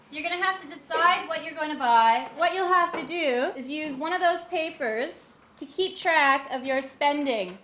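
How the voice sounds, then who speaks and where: Lady teacher talking to her class, quite high voice, roomy echo. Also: moderate pace, few pauses, fairly bright tone. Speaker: primary school teacher. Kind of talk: addressing a class of children. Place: school classroom. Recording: echoey classroom with faint background noise.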